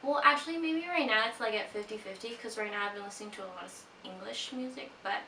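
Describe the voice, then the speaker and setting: A woman's voice: medium pitch, fast talker, sharp tone, a little hiss or hum. A woman in her twenties from Peru, a native Spanish speaker, chatting casually to her viewers in a sit-down vlog, with lots of "like" fillers and giggly asides. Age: 20s. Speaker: vlogger. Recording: roomy echo, voice a bit far from mic.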